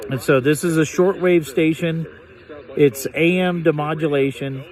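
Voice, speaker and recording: A man's voice: fairly high pitch, fairly even intonation, a little slow, darkish tone. A man giving a casual hands-on demonstration as a hobbyist, hesitant and thinking aloud as he goes. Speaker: hobbyist reviewer demonstrating a shortwave radio.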